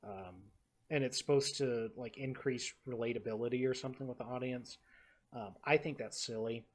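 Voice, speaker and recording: Halting young man from the Texas Panhandle. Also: medium pitch, steady tone. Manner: halting, repeats words, many fillers. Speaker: podcast co-host. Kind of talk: casual podcast chat.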